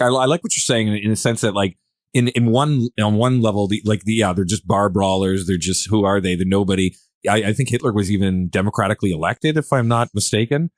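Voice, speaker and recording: A man's voice: on the low side, no echo, clean studio sound. A man hosting a casual podcast discussion, agreeable and rambling as he thinks aloud. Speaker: podcast host.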